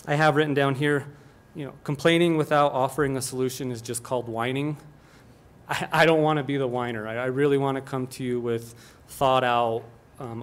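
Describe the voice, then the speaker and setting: A middle-aged man from Colorado giving an earnest, plainspoken public comment at a meeting, often restarting his sentences. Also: medium pitch.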